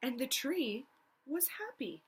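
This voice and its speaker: A woman reading a children's storybook aloud as a teacher, expressively, pausing to prompt young listeners with questions. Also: high-pitched, very animated, a little hiss or hum.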